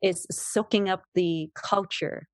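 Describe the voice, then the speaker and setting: Cantonese-native woman talking english on a podcast, slowish, a bit low, dry close mic. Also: fairly even intonation, dry recording. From Hong Kong. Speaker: podcast guest. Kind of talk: casual podcast conversation.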